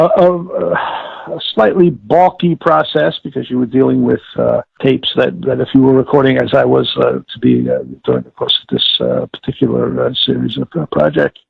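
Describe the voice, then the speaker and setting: Older guy, super gravelly n husky, halting formal explainer in an interview, thin phone sound. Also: medium pitch. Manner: deliberate, halting, formal wording with self-corrections. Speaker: interviewee, former audio producer-director. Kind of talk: reminiscing interview, explaining a process. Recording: tinny phone-like line with room echo.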